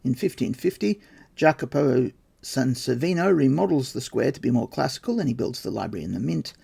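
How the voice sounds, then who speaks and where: A man's voice: mid-range voice, lively intonation, brisk, gravelly, warm tone, breathy edge. A man hosting a podcast, reading his research notes aloud with dry, wry asides. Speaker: podcast host.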